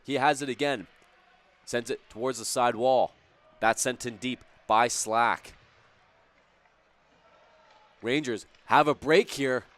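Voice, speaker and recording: Brief: male hockey play-by-play caller, mid-range voice, a bit raspy, chatty, spikes on scoring plays. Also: mid-range voice, fairly bright tone, no echo. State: slightly hoarse, raspy voice. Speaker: play-by-play commentator. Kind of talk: live hockey play-by-play commentary.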